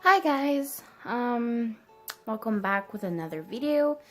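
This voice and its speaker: Slow, hesitant young woman. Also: on the high side, lively intonation, faint music behind. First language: French. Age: early 20s. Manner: hesitant, halting. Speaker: vlogger. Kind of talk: casual personal vlog to camera.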